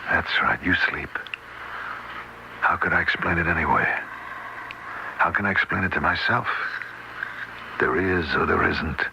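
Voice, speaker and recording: A man's voice: deep voice, moderate pace, gravelly, pauses often, lo-fi sound. An actor playing an exasperated, fretful husband caring for his sick wife, emphatic and incredulous in 1970s radio drama style. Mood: tender, troubled. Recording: hissy vintage radio broadcast.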